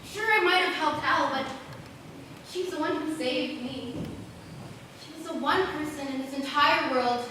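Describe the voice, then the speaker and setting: High, bright grieving teenage girl played by young woman. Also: a little slow, pauses often. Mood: tender, sad. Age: teens to young adult. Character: wounded, grieving lonely teenage girl of the forest. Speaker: student actor. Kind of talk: stage play acting. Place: school stage performance. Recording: echoey hall, distant mic, background noise.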